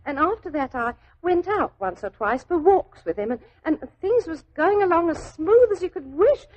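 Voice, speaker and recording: High, fluttery young girl. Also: very animated, lo-fi sound. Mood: wistful, chatty. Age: young adult. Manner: deferential, chatty, halting when flustered. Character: naive, devoted young working-class London typist, anxious and respectful. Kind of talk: 1940s radio drama acting. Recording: old 1940s radio drama recording.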